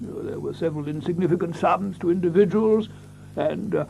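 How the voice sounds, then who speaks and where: High, creaky, fussy doctor. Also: male voice, darkish tone, slight background noise. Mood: flustered. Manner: hesitant, flustered, halting. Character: fussy, nervous country doctor, eager to please. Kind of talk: audio drama acting.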